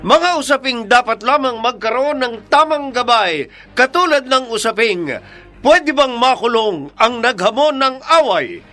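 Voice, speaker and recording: A man's voice: extremely high pitch, lively intonation, a little slow, pushed and bright. A man with a Filipino accent announcing a radio drama in an emphatic, declamatory scripted style.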